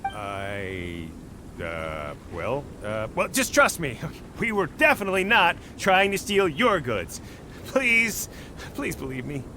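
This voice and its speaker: A man's voice: fairly high pitch, very animated, slow pace, background noise, exaggerated voice. A man playing a cowardly thief in a video game, stammering and pleading his innocence. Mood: flustered, pleading.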